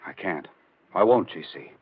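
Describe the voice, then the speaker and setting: Brooding young reporter, real low voice, grave old radio drama, muffled recording. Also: male voice, expressive, normal speed, breathy edge. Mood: somber. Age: 20s. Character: brooding male newspaper reporter hiding a painful past. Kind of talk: 1940s radio drama acting.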